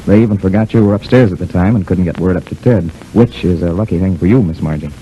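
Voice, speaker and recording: An actor playing an assured, kindly lawman in an old-time radio serial, with an avuncular, measured manner. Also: deep voice, mellow, natural voice. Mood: assured, amused. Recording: muffled, hissy old radio recording.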